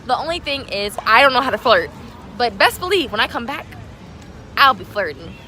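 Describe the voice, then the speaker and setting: A woman chatting playfully with a friend outdoors at night, telling jokey stories in a teasing, animated way. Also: a little high, moderate pace. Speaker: vlogger's friend. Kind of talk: casual joking chat. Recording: loud music and crowd noise behind.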